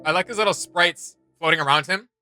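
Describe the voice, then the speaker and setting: A man hosting a reaction video, chatting casually and excitedly in a rambling way full of "like". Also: fairly high pitch, a little fast, music in the background. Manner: enthusiastic, rambling, frequent "like" filler. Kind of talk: casual excited chat reacting to game sounds.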